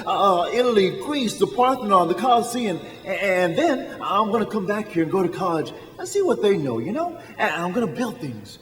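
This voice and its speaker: Man acting a high-voiced restless young dreamer, echoey hall. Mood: excited, dreamy. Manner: stammering, excitable, halting. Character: restless, eager young small-town dreamer, male. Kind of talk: stage radio drama acting. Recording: echoey hall with long reverb.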